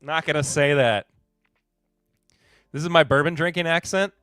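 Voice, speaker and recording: A man's voice: fairly high pitch, steady tone, normal speed, a bit bright, smooth, no room sound, clean studio sound, faint music behind. A man from Kentucky hosting a live podcast in casual chat, laid-back and chuckling as he reads and answers viewer comments. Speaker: podcast host.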